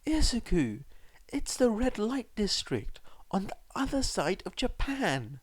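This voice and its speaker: Storybook mother, played by a man: anxious, sobbing, raised pitch that swoops, breathy choked delivery. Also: sing-song, a little slow, no room sound, full character voice. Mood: tearful distress. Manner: tearful, breathy, choked exclamations. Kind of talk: audiobook character voice.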